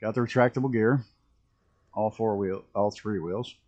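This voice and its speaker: A man with a rough early-morning voice, chatting casually and rambling as he streams a flight simulator. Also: lowish voice, normal speed, darkish tone, highs cut off. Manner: rambling, self-interrupting, chuckling at mishaps. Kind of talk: casual live-stream commentary.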